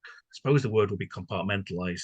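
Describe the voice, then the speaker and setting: A man's voice: deep voice, fast, slightly rough, no echo. A man hosting a conversational interview, asking wordy, tentative questions in an appreciative way. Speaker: podcast host, interviewer.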